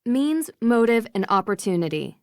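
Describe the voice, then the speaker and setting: A woman voicing an earnest, inquisitive young American detective in scripted video game dialogue, speaking politely and clearly with persistent questions. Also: fairly high pitch, very animated, normal speed, darkish tone, dry recording, studio quality. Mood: curious, composed. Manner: polite, probing, clearly articulated.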